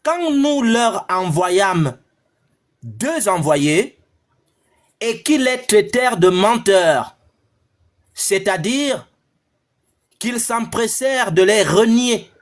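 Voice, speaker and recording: A high-pitched man with a Cameroonian French accent argues in a heated online debate over a video call. He lectures with emphasis and hammers the same phrases again and again. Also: very animated, drawn-out words, long pauses.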